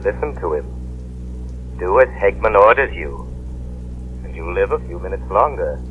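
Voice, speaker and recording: Mysterious male crime fighter, measured, ominous, deep, old radio drama, phone-thin sound. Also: animated, phone-quality sound. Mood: commanding. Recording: scratchy old radio broadcast.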